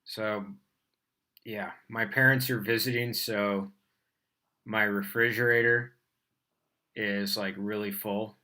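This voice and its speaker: A man's voice: on the low side, sharp tone. A man teaching physics on a casual livestream, chatting with fillers and laughing at himself as he tries out beginner Spanish. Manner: chatty, rambling, with frequent fillers.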